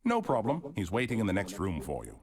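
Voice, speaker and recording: Slippery collector, gracious surface, animated pitch. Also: male voice, brisk. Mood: smooth. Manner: polite, evasive, lively pitch. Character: smooth, guarded male museum collector. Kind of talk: video game voice acting.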